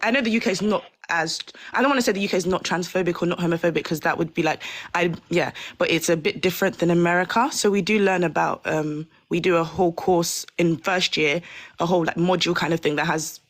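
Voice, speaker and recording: A woman's voice: fairly low pitch, fast talker, no room sound. A woman in her twenties from the UK, a guest on a live panel chat, talking hesitantly and apologetically with many fillers. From United Kingdom. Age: 20s.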